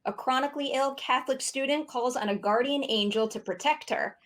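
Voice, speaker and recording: A woman's voice: sits high, a little fast. A woman hosting a podcast interview, articulate and enthusiastic, moving between prepared introductions and questions. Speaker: host.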